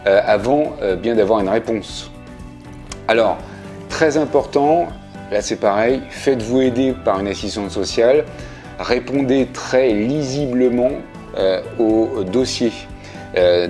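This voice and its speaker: A man's voice: medium pitch, warm tone. A man in his fifties, seated in his living room, explaining things to camera in a chatty, plainspoken way with frequent fillers. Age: 50s. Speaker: video creator. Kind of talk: casual explainer video.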